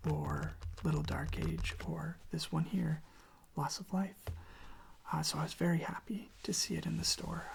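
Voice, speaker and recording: An ASMR creator speaking very softly, often in a whisper, in an unhurried, rambling casual chat with self-interruptions, close to the microphone. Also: medium pitch, vocal fry. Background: tapping and handling of records.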